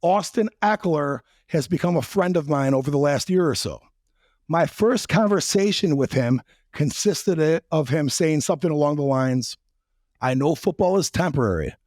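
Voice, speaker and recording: A male podcast host whose voice is raspy from a recent trip, a little higher than most men. He talks bluntly and loosely, swears for effect and teases his guest. The sound is dry and close. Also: a little mellow, dry recording. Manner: blunt, profane, joking. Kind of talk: casual interview and monologue intro.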